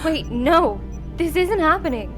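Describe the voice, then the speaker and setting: A woman playing an excitable, childlike spaceship crew member in a sci-fi audio comedy. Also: high-pitched, unhurried, music in the background. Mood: anxious.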